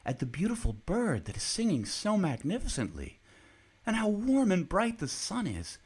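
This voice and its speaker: A man's voice: high-pitched, wildly swooping pitch, moderate pace, a little mellow, husky, voice pushed up, full character voice. A man voicing a cheerful, contented father who speaks with pleased admiration.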